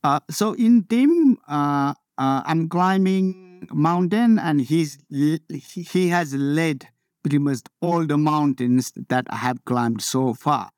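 Halting man from Nepal, dry sound. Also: on the high side, a little mellow, no echo. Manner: halting, many fillers and restarts. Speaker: interview guest, mountaineer. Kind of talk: casual interview talk.

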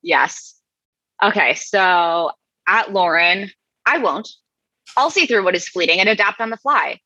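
A woman co-hosting a chat show, giving a deadpan dramatic reading of online comments with slow, heavy emphasis. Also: medium pitch, breathy edge, no room sound. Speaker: podcast co-host. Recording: dull, dry lo-fi mic.

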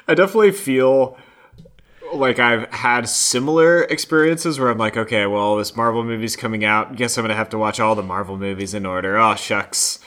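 A man with a fairly low voice chats as a podcast co-host. He talks informally, with a wry, joking streak running through his casual delivery. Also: fairly quick, a bit bright. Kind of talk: casual chat.

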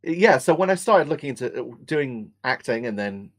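A man's voice: mid-range voice, sing-song, normal speed. An English man, a voice actor, answering interview questions in an easygoing, thoughtful storytelling way. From England. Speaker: voice actor guest.

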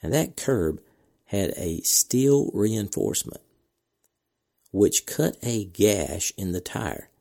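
Low, gravelly man with a cracking voice. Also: animated, slow pace, dark tone. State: slight cold, voice cracking. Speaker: podcast host. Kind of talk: scripted podcast announcements with casual asides.

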